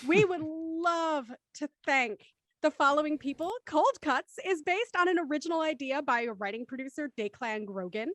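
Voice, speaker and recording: High chatty woman host on a video call, dry close sound, laughs a lot. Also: expressive, normal speed, fairly bright tone, no room sound. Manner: chatty, laughs between lines. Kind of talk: livestream cast chat and sign-off.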